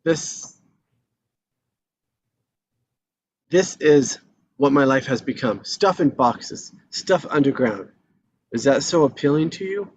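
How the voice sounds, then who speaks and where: A man playing a discontented, self-pitying married man in his thirties in a live screenplay read, speaking casually and haltingly. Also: a little high, lively intonation, highs cut off. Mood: bitter, regretful.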